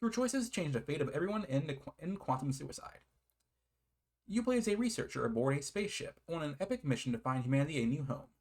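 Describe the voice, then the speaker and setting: A man's voice: on the high side, sing-song, fast, a little mellow. Casual, rambling American man reviewing games. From United States. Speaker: video game reviewer. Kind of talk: casual rambling review, partly reading game blurbs.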